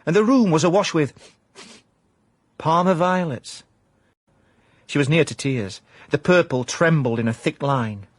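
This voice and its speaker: A man with a South Yorkshire accent playing a self-important amateur private detective, deadpan and pompous, in a comic radio drama. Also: a little high, measured pace, long pauses, no echo, studio quality. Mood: deadpan brooding. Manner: deadpan, pompous hard-boiled narration. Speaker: lead actor and narrator.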